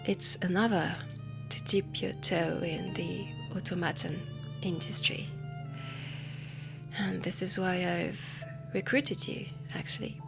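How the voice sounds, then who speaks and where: A woman playing a refined, ambitious heiress inventor in a steampunk audio roleplay, speaking softly and deliberately with a French accent, often close to a whisper. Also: on the low side, creaky. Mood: admiring. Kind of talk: soft intimate audio roleplay. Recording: music under the voice. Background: background music.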